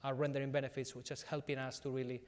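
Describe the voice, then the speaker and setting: A man's voice: mid-range voice, flat delivery, fast talker. A middle-aged man with a Spanish accent, speaking earnestly at a business panel with many fillers and restarts. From Spain. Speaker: company executive on a conference panel.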